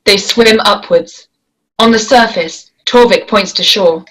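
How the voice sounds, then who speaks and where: A woman's voice: medium pitch, a little slow, poor audio. A woman reading stage directions as scripted narration for a screenplay.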